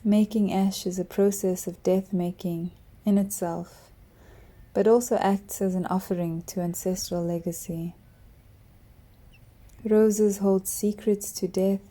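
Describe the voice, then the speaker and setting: A woman narrating a poetic piece slowly and softly, sometimes dropping to a whisper. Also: mid-range voice, fairly even intonation. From South Africa. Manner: soft, hushed, drifting into whispers.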